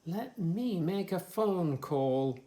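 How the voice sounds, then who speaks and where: A man's voice: high-pitched, expressive, takes it slowly, warm tone. A man voicing a critical, bossy but resourceful frog, speaking emphatically in a children's storybook. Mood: pleased, bossy.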